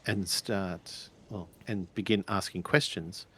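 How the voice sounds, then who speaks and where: A man's voice: low-pitched, steady tone, slow pace, bright, forceful tone, husky. A man playing a tabletop roleplaying game, improvising polite in-character dialogue in a hesitant, stammering way.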